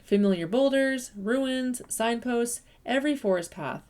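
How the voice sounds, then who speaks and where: A woman reading a picture book aloud to children, expressive and lively, stressing sounds and rhythm. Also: on the high side, measured pace. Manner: warm, expressive storytelling with lively emphasis. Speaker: children's story reader.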